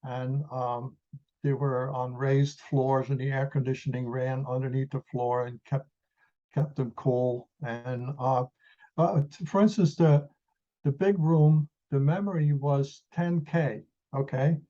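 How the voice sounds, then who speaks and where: Dark-voiced man in his eighties from the Netherlands. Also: medium pitch, fairly even intonation, takes it slowly, lo-fi sound. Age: 80s. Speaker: interview guest, retired engineer. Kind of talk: reminiscing in an interview.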